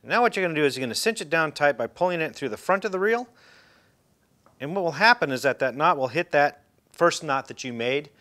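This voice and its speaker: A man's voice: on the high side, animated, brisk, sharp tone. A middle-aged man giving a casual, folksy how-to demonstration, talking loosely with fillers and restarts while seated indoors. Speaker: fishing instructor. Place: indoor room, seated in a chair.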